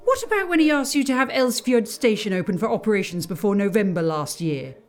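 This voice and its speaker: An actress playing an affectionate, teasing wife in an audio drama, solicitous and wryly probing. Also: female voice, mid-range voice, expressive, fairly quick, faint music behind, natural voice. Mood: needling.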